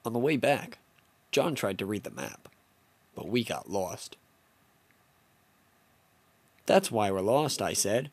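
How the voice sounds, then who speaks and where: A man's voice: lowish voice, animated, slow, long pauses, breathy edge. Young man narrating with mock drama. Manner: playful, mock-dramatic storybook delivery. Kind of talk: comic first-person narration of a home-made stop-motion film.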